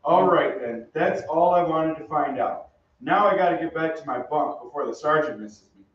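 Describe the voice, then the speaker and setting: A man's voice: a little high, normal speed, highs cut off, close to an everyday voice. A man playing a brash, impatient young soldier with blunt, slangy talk in an old-time radio play. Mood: defiant. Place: theatre stage, heard from off. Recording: very echoey distant stage, noisy.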